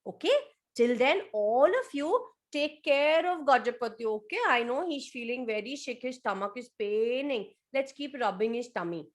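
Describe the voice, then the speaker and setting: A woman voicing a kindly postman who reassures everyone calmly. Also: fairly high pitch, normal speed, natural voice. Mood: reassuring. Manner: reassuring, calm.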